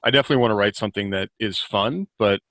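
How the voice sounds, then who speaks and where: A man talking casually on a group podcast as a guest author, reflective and halting. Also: fairly low pitch, fairly bright tone, no echo.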